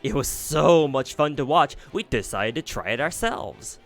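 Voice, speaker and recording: A man's voice: mid-range voice, normal speed, soft background music, close to an everyday voice. A man doing giddy cruel fairies. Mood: gleeful. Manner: giddy, sing-song, excited. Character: gleeful cruel fairy mob, childlike and bloodthirsty.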